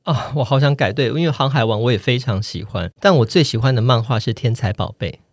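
A man's voice: medium pitch, fairly quick, no room sound. Forty-ish man, Taiwanese Mandarin, close dry sound. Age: around forty. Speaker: podcast guest, voice actor. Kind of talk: casual conversational chat.

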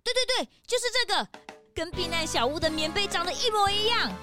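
A woman voicing an eager young detective sidekick in a children's audio drama, excited and full of questions. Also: very high voice, a little fast, music in the background.

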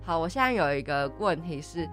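A woman's voice: low-pitched, animated, brisk, a bit bright, soft background music. A woman co-hosting a podcast, chatting casually about everyday topics. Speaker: podcast co-host. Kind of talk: casual scripted-topic chat.

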